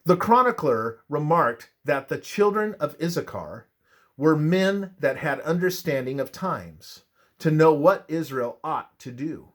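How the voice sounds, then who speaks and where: A man reading a scripted religious devotional aloud in a measured, deliberate manner. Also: fairly high pitch, expressive, fairly bright tone. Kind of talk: scripted narration of a Bible study, read aloud.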